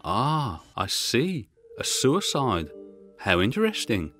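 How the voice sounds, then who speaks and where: A man voicing a curious, headstrong English gentleman on his travels in a gothic audiobook. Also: mid-range voice, wildly swooping pitch, takes it slowly, faint music behind, exaggerated voice.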